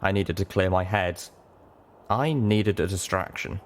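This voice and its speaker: A man's voice: low voice, a little slow. A man reading a scripted first-person story as an audiobook narrator.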